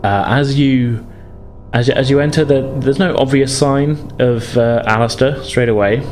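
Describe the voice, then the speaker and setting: A man's voice: mid-range voice, a little slow, a little mellow, soft background music. A man narrates as game master of a tabletop game, in a rambling, self-correcting manner.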